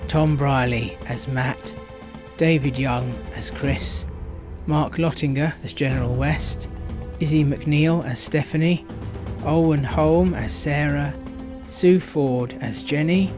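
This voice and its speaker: Male announcer, fairly high voice, scripted credits read with loud music behind. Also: slow.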